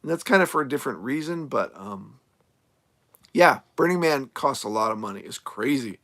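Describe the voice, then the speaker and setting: A man who is a nomad RV creator, chatting casually on a live stream as he answers viewer comments, rambling with lots of fillers and restarts, his voice going a little hoarse. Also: medium pitch, expressive, normal speed, fairly bright tone. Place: RV camp in the desert, outdoors.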